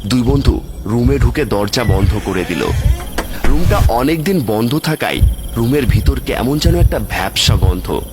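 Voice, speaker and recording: A man's voice: mid-range voice, lively intonation, measured pace, background noise. A man giving scripted narration for a cartoon horror story.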